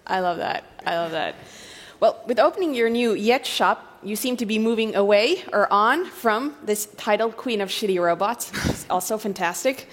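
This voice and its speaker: A woman's voice: medium pitch, expressive, moderate pace. A woman hosting a live stage interview, asking questions in an enthusiastic, encouraging way. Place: round live stage, reverberant hall. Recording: long hall reverb from a live stage.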